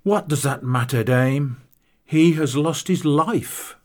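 A man voicing a composed gentleman army captain, speaking in a measured, grave way. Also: a little high, voice pushed up. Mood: reproachful.

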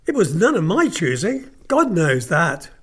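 Male narrator voicing a sneering elderly lord; high, slow, wide-ranging delivery. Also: sing-song, fairly bright tone, slightly rough, exaggerated voice. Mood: sly. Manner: leering, drawling, sudden harsh bursts. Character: sly mocking old nobleman, uncle, lame.

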